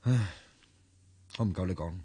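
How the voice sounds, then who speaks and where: A man's voice: low-pitched, moderate pace, dark tone, slightly rough, dry recording. A man with a Hong Kong Cantonese accent, playing a hesitant young man who protests against posing as someone else in a radio drama. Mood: uneasy, defensive.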